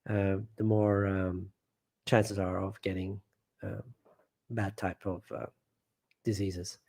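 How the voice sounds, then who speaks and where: A man's voice: on the low side, gravelly, dark tone. A man hosting a casual live stream from home, reading viewer comments aloud and rambling, often trailing off and restarting.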